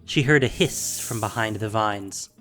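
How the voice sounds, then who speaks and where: A man narrating a scripted fantasy audio drama with measured delivery and dramatic pauses. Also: lowish voice, fairly bright tone. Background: faint music.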